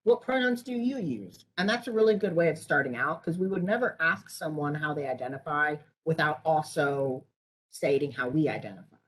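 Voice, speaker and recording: Woman, deep for her sex, raspy tone, training presenter, informal explanatory advice. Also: animated, normal speed, low-quality recording. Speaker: trainer answering questions on a conference call.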